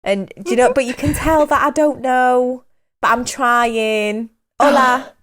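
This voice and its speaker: A woman's voice: sits high, unhurried, a bit bright. A woman co-hosting a comedy podcast, giggly and self-mocking as she tries out accents. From England. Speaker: podcast co-host. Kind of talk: casual comedic chat, trying out accents. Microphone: studio podcast mic.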